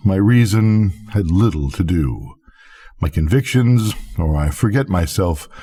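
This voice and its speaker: An older man reading a literary story aloud as a bedtime storyteller, in measured, deliberate phrases with pauses. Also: deep voice, warm tone. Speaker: storyteller, reader.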